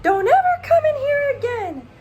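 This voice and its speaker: A woman voicing an upset, scolding mother in a children's storybook, loud and stern as she exclaims. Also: very high voice, big pitch swings, normal speed, a little hiss or hum, voice pushed up. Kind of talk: children's storybook read-aloud.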